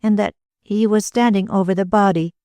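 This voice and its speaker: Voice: medium pitch, steady tone, normal speed, darkish tone, dry recording, voice pushed up, natural voice. A reader voicing an anxious young woman who presses with urgent, faltering questions, in an audiobook.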